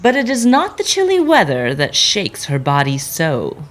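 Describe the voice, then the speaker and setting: Expressive lower-voiced woman narrating a story. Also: normal speed. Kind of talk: dramatic scripted storytelling narration.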